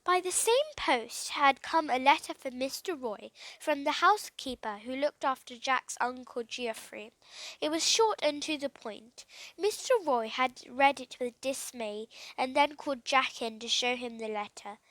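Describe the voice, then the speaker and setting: A woman reading a children's adventure story aloud in an expressive way, as an audiobook reader. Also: high-pitched, unhurried, no echo.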